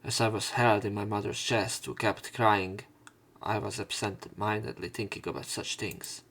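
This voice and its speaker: A man's voice: fairly low pitch, fairly even intonation, normal speed, creaky, fairly bright tone. A man reading visual novel narration aloud as a let's player, with casual commentary. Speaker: let's play streamer.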